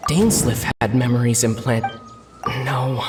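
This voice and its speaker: A woman playing a calm, reserved, analytical adventurer in a video game, speaking in a measured, serious way. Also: very deep voice. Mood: pensive. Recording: reverberant, loud music behind the voice.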